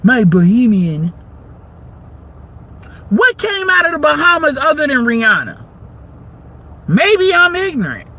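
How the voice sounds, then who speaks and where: A man, delivering a heated, profane monologue that rises into shouts. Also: very high voice, wildly swooping pitch, unhurried, long pauses. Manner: heated, combative, swearing freely, rising to shouts. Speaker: livestream commentator.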